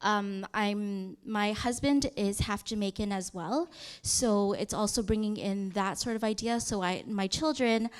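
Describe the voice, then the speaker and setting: A woman's voice: medium pitch, unhurried, clear, resonant tone. A woman in her thirties presenting on a youth program, talking through slides in a conversational way with frequent fillers and restarts.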